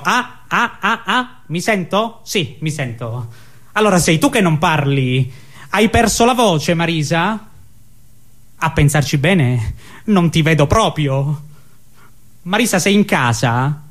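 Male actor as forlorn husband, fairly high voice, varied intonation, muddled, plaintive, halting, absurdist stage monologue. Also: fairly bright tone, full character voice. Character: lonely, muddled husband talking to silent wife.

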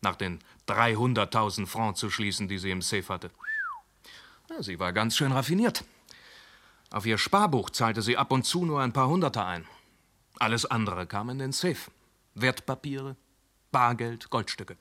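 An actor playing a calm male police inspector who questions witnesses and reasons through a case in a radio drama. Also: fairly low pitch. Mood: reasoning. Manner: measured, reasoning.

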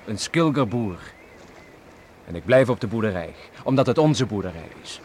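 An actor playing a loyal, steady young seafarer and farmer son in a Dutch radio drama, earnest and respectful. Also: male voice, fairly low pitch, normal speed, lightly acted. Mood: earnest, resolute. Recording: slightly noisy old radio play recording.

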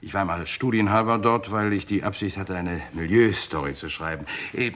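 A man's voice: sits low, moderate pace, fairly bright tone. An actor playing a polite, wry, talkative crime writer who narrates his own case in a vintage German radio play. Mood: polite, eager. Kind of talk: 1950s-80s German radio crime drama acting.

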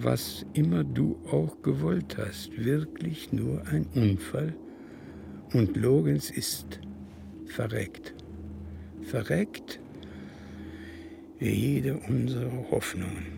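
An actor playing a bitter, resigned old man, hushed and gravelly, in an intimate radio drama monologue. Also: medium pitch. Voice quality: creaky, gravelly. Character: bitter, resigned old man voicing his farewell letter. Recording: clearly noisy background.

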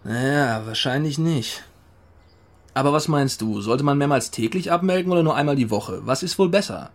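A man's voice: mid-range voice, animated, normal speed. An actor playing a deliberating, placating male bandmate in a radio play. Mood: musing.

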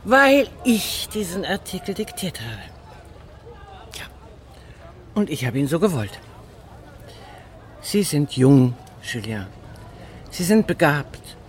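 A woman's voice: low-pitched, very animated, slow, pauses often, heavily acted. An actress playing a worldly, authoritative older woman who leads an expedition in a German radio play. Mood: firm, decisive. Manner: authoritative, decisive.

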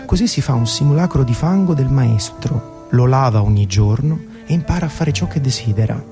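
A man's voice: medium pitch, lively intonation, normal speed. Male storyteller over music. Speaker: narrator and radio presenter. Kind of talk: scripted storytelling narration, guided music programme. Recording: narration over prominent background music.

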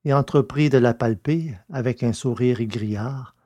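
A man's voice: medium pitch, a little slow, mellow, no echo. A man reading scripted narration for an audio drama.

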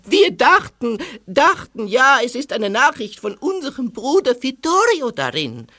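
Earnest young Native man from Mexico played by a woman, high and animated, halting foreign speech, radio play. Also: heavily acted. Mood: worried. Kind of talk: audio drama acting.

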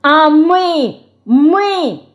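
A woman's voice: on the high side, slow pace, mellow, voice pushed up. A woman voicing a fiery, impulsive young girl with exclamatory delivery in a storybook reading. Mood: furious. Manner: impetuous, exclamatory. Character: fiery, impulsive young girl, tender yet quick-tempered.

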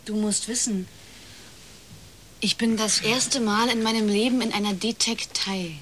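An actress playing a young, scheming woman who pries and flatters in a casual, teasing way, in a radio crime drama. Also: medium pitch, a little slow, slight background noise, natural voice. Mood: breezy, curious. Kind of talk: naturalistic German radio crime drama acting.

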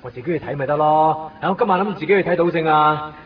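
An actor playing a flippant, grumbling young boyfriend in a radio drama, offhand and slangy, with a Hong Kong Cantonese accent. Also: male voice, fairly high pitch, fast, lightly acted. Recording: distant, muffled 1990s radio drama recording.

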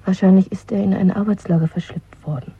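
An actress playing a young, pragmatic, questioning secret lover in a German radio drama, with a husky, breathy voice. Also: female voice, medium pitch, brisk, mellow. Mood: guarded, matter-of-fact. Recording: dry, narrow phone-like sound.

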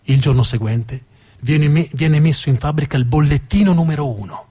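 A man performing dramatic scripted narration as a theatre storyteller, with a deliberate, emphatic delivery and dramatic pauses. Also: a little high, warm tone, slightly rough.

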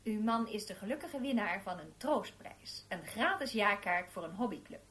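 A woman's voice: medium pitch, animated, moderate pace, a bit bright, slight background noise, close to an everyday voice. An actress playing a formal, officious female employment office clerk in a radio play. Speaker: radio drama actor. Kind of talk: Dutch radio play dialogue.

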